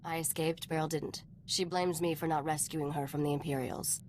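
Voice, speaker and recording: Game casting: actress as tough smuggler woman, low register, blunt and unapologetic, faint noise behind. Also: steady tone, darkish tone, natural voice. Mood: defensive, blunt. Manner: blunt, brisk, matter-of-fact. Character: hard-bitten, self-serving woman smuggler partner, unapologetic. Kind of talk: video game voice acting.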